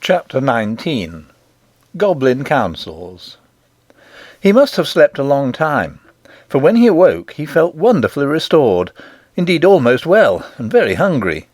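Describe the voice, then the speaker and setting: A man reading a children's storybook as an audiobook narrator, in a measured, expressive literary manner. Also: mid-range voice, no echo.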